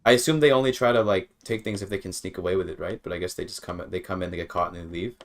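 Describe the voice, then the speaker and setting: A man's voice: low-pitched, steady tone, very fast. A man giving casual live gameplay commentary, rambling and repeating words as he thinks aloud. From Canada. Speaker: game streamer.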